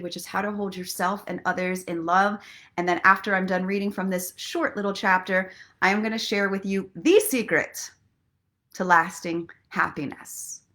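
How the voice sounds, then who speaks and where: Expressive woman hosting an inspirational livestream. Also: mid-range voice. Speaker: host of a live online story and inspiration show. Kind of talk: casual live chat mixed with guided relaxation and motivational talk.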